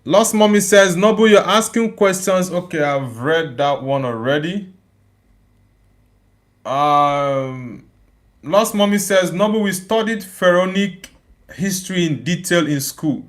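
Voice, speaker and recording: A man's voice: on the high side, measured pace. A young man from Nigeria hosting a live football watchalong, reacting to the match and reading out viewer comments in a casual, repetitive way. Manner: casual, repeats phrases, chats with viewers. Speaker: watchalong streamer.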